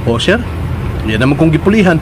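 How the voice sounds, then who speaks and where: High-voiced dutiful young son. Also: male voice, big pitch swings, brisk. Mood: deferential. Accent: Filipino, Cebuano. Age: young adult. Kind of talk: Philippine radio drama acting. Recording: noisy track, vehicle and ambience behind.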